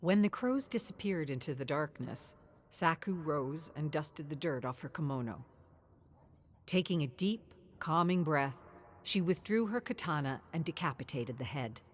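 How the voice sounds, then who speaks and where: A woman reading dark fantasy lore as scripted narration, measured and grave, slowing down on the key words. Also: low voice, lively intonation.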